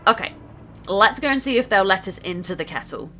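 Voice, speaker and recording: A woman's voice: mid-range voice, lively intonation, a little fast. A woman presenting a scripted gameplay walkthrough in an upbeat, conversational manner with wry asides. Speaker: game publisher team member presenting gameplay. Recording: music behind the voice.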